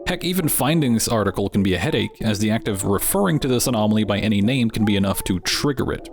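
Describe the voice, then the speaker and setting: A man's voice: fairly low pitch, lively intonation, fast talker. A man hosting a channel, giving scripted narration with wry, dryly joking asides. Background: background music.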